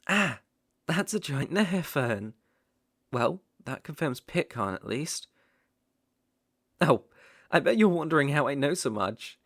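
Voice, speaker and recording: A British man playing a devoted, possessive stalker in an intimate ASMR roleplay, tender and wry with halting phrasing. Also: on the high side, sing-song, a bit bright, no room sound, clean studio sound, heavily acted. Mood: wry, musing. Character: devoted, possessive male stalker, outdoorsy and softly protective.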